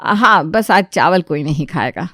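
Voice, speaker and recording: A woman's voice: on the low side, animated, a little slow, fairly bright tone, no echo, studio quality, voice pushed down. A woman voicing a bossy, scolding, self-important mother-in-law in her sixties for an audio family story. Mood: stern. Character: bossy nagging old mother-in-law, aged sixty-five.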